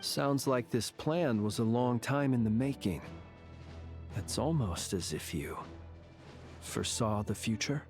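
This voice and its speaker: An actor playing a thoughtful male ally in a video game, calm and considered. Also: mid-range voice, measured pace, faint music behind.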